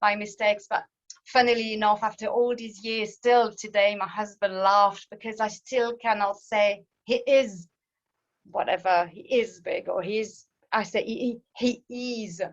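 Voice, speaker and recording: A woman's voice: mid-range voice, steady tone, unhurried, dull audio. A woman in her fifties, a native French speaker with an Alsatian French accent, telling of her life with languages in a hesitant, restarting way. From France. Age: 50s. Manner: hesitant, many restarts and ums, laughs at herself. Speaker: guest speaker. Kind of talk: personal talk on language.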